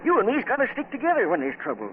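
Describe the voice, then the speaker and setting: A scrappy, folksy old boat-hand in a 1940s radio play. His voice is high and wildly animated, with excitable, ungrammatical talk. The recording is tinny and noisy. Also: racing, exaggerated voice. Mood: nosy, excitable.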